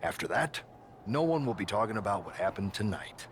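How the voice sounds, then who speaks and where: A placating wrestling boss, speaks in a low voice with managerial reassurance. He waves worries away in game cut-scene dialogue, with faint noise behind. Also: big pitch swings, a little fast. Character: smooth-talking, placating male wrestling general manager.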